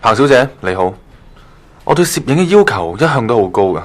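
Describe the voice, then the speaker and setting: A man's voice: medium pitch, big pitch swings, brisk, natural voice. A man with a Hong Kong accent playing a blunt, demanding magazine columnist in a radio drama. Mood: stern, frank. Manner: direct, frank. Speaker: voice actor in an online radio drama.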